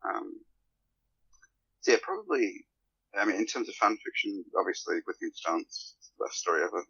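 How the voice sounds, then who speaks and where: Male podcast guest, raspy and creaky, hesitant casual delivery, dry dull sound. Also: on the low side, expressive, moderate pace, bright, forceful tone, no room sound.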